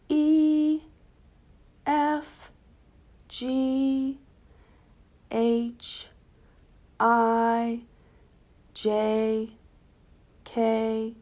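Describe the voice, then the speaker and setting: A woman leads little children through the alphabet, stretching each letter out at a very slow, deliberate pace. Her voice sits fairly high and stays bright and encouraging. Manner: drawn-out, clearly paced, encouraging. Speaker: children's librarian leading a sing-along. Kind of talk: slow alphabet sing-along for preschoolers.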